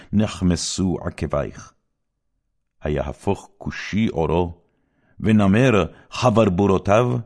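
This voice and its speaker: Low male voice, formal scripture narration, solemn, measured, declamatory, clearly phrased. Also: a little mellow. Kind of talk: formal scripted Bible reading.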